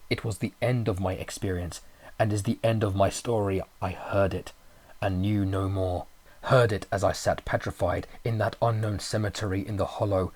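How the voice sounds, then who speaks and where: A man with a husky voice narrating a horror story in a grave, theatrical manner. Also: on the low side. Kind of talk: dramatic horror audiobook narration.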